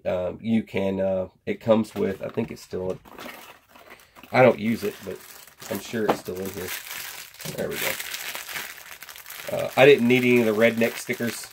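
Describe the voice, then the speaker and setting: Low, gravelly man rambling casually. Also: fairly even intonation, measured pace, pauses often, a little hiss or hum. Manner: rambling, folksy, informal. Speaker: host of a gear review channel. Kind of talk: casual product unboxing and review chat. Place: indoor home workshop.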